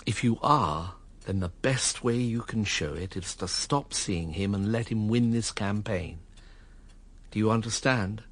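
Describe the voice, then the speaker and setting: Low-voiced, cynical gentleman. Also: a little slow. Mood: measured, frank. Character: weary, cynical invalid gentleman narrating his own story. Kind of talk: radio drama narration and dialogue.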